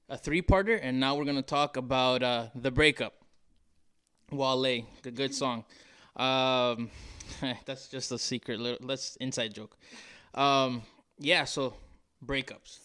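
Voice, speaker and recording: A man's voice: medium pitch, fairly bright tone, clear, resonant tone. A man hosting a podcast in a casual, conversational style, rambling and joking as he goes. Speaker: podcast host.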